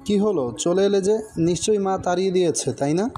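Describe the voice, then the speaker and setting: An actor playing a gentle, reassuring young newlywed husband in an audio love story, soothing and a little teasing. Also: male voice, high-pitched, fairly quick, faint music behind, close to an everyday voice.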